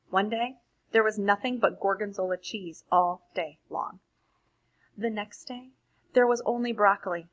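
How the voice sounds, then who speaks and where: A woman reading a storybook aloud expressively for children, like a teacher pausing to explain things to young listeners. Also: mid-range voice, a bit bright, low-quality recording.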